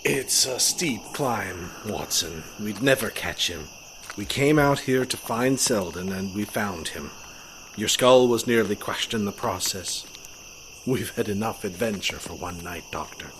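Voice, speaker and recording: An earnest, deep-voiced young heir, Sir Henry. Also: male voice, expressive, unhurried, fairly bright tone, a little hiss or hum. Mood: weary, compassionate. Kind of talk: audio drama acting.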